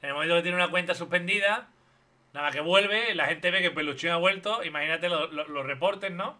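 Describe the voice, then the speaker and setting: Bright-voiced Spanish streamer, blunt and mocking. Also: male voice, fairly high pitch, fairly quick. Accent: Spain Spanish. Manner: blunt, mocking, talks over the video. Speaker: streamer reacting to a video. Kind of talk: live stream reaction commentary. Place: home streaming room.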